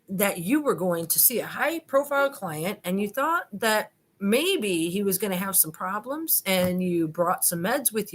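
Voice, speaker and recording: A woman's voice: mid-range voice, lightly acted. Police detective read by a woman, male role, patient questioner who probes with careful, repetitive explanations.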